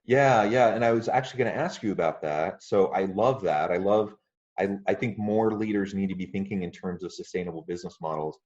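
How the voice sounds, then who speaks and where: A man hosting a podcast interview, speaking in a friendly, measured way with frequent fillers. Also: sits low, a little mellow, lo-fi sound. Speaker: podcast host.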